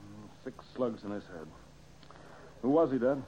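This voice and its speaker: An American man playing an earnest, brisk young amateur detective in an old-time radio drama. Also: mid-range voice, lightly acted. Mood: brisk, inquisitive. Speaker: detective hero and show host. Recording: muffled, hissy old radio recording.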